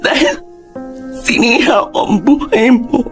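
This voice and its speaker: A man's voice: very high-pitched, very animated, a little slow, a bit bright, heavily acted. A man acting as a moneyed, bitter and guilt-ridden drinking lover in a dramatic, emotionally heavy Filipino radio drama style. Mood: tearful, guilty. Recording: music behind the voice.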